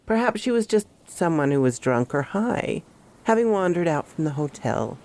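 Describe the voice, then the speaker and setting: A woman playing a troubled female park ranger who recounts her horror story in a measured, confiding first-person narration. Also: low-pitched, big pitch swings, darkish tone. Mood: wary, recounting.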